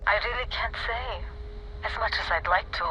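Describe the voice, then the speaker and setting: An actor playing a sly, wealthy, mysterious trader in a video game, amused and coy, with teasing, drawn-out phrasing. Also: medium pitch, pushed and bright, soft background music, rough recording. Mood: coy, evasive. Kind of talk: video game character dialogue.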